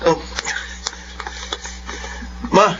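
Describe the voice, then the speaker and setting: A man's voice: drawn-out words, bright, forceful tone. A Filipino man playing a guarded, serious young student searching for his father, in a radio drama. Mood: startled, urgent. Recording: muffled radio drama, faint background noise.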